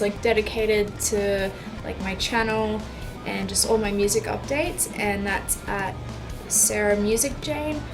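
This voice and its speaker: Chatty young woman over music. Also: mid-range voice. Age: 20s. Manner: chatty, hesitant with many likes and ums. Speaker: YouTube musician. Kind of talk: casual Q and A vlog.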